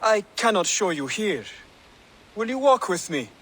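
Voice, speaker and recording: An actor playing an earnest, courteous young male assassin acolyte in a video game, speaking formally and with measured solemnity. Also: high voice, very animated, a bit bright, a little hiss or hum, exaggerated voice. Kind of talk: dramatic video game acting.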